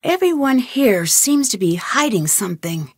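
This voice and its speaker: An actress playing an inquisitive, persistent young woman detective in an adventure game, with clear, earnest, questioning delivery. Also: on the high side, big pitch swings, normal speed, darkish tone, dry recording, clean studio sound, full character voice. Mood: firm, frustrated. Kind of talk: scripted adventure game voice lines.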